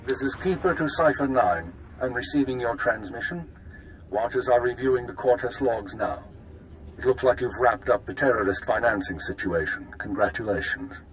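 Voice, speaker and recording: A man's voice: medium pitch, normal speed, faint music behind, lightly acted, low-quality recording. A man voicing a curt, authoritative intelligence chief in a video game, clipped and businesslike. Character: curt, authoritative spymaster man commanding agents.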